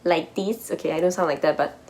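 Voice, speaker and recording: Chatty Malaysian woman, lowish voice. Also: steady tone, fast, vocal fry, a little mellow. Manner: chatty, self-correcting, teasing herself. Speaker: vlogger. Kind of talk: casual sit-down vlog chat. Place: hotel room.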